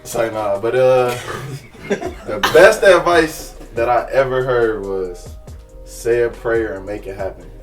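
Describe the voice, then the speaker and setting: A man's voice: medium pitch, expressive, takes it slowly. A young man from Detroit joining a casual group chat, joking and laughing as he talks. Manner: joking, laughs while talking. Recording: distant mic, music underneath.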